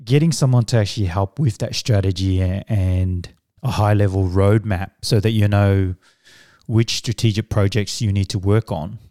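A middle-aged man, a native Samoan speaker, answering interview questions in a measured, reflective way as a business coach. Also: fairly low pitch, steady tone, warm tone, dry recording, studio quality. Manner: measured, reflective, with fillers and restarts.

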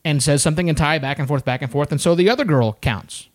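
A man's voice: a little high, fast talker. A man on a podcast, telling a story in casual chat and acting out the other people's voices as he goes. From United States.

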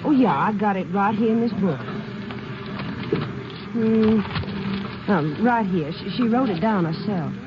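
An actor playing a talkative small-town laundry worker in a 1950s radio drama, rambling in homespun country grammar. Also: male voice, high voice, lively intonation, unhurried, pauses often, very noisy. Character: chatty folksy small-town laundry man. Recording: muffled old radio broadcast.

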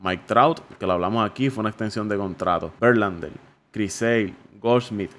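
Low-voiced Puerto Rican baseball host. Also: male voice, moderate pace, fairly bright tone. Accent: Puerto Rican Spanish. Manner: discursive, many "eh" fillers. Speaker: sports show host. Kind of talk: baseball commentary talk.

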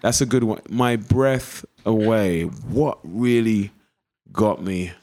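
A man's voice: on the low side, takes it slowly, darkish tone. A man with an East London accent, a guest actor chatting casually in an interview, rambling with lots of fillers and restarts. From East London, England. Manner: laid-back, rambling with many fillers and restarts.

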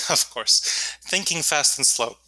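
A man whose first language is Russian answers podcast questions in an earnest, explanatory way. His voice is very bright and forward. The recording is dry and close, with no room sound. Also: a little high, lively intonation, slow pace, no room sound. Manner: earnest, explanatory, with frequent fillers. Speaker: author and podcast guest.

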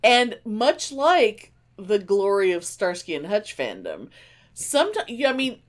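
Animated, effusive woman chatting. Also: medium pitch, measured pace. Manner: effusive, excitable, emphatic. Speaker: podcast co-host. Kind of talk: casual chat.

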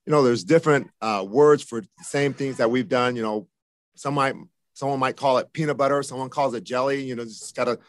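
A football coach in his fifties answers questions at a steady, measured pace. His voice sits at a middle pitch and is peppered with "you know" and "uh." The recording is dry and close, with no room sound. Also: no room sound. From Japan, raised in the United States from age seven.

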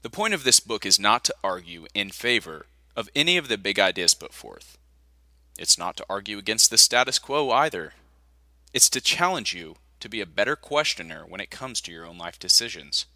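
A man's voice: on the low side, sing-song, moderate pace, sharp tone, no room sound. A man reading a nonfiction audiobook as scripted narration, close to the microphone.

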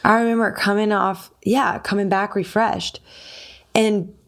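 A woman's voice: medium pitch, fairly even intonation, a little slow. A young adult woman, a singer, talking casually and acting out quoted dialogue as she tells a story. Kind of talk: casual conversation.

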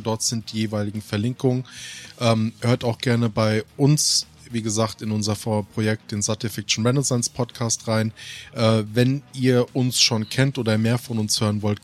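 Male podcast host, mid-range voice, relaxed conversational hosting, light music underneath. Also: medium pitch. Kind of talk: casual hosting talk.

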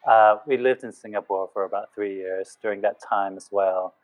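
A man's voice: lowish voice, fairly even intonation, moderate pace. A man from Malaysia, a guest talking in a reflective, hesitant way. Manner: hesitant, many fillers and restarts, reflective. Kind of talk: casual podcast chat. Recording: phone-like narrow sound.

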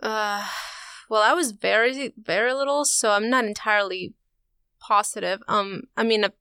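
Young woman from Venezuela, smooth voice. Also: mid-range voice, fairly even intonation, normal speed, bright, forceful tone, no room sound, studio quality. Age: young adult. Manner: chatty, lots of "you know" and "like". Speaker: college student guest. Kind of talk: casual interview answers. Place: studio.

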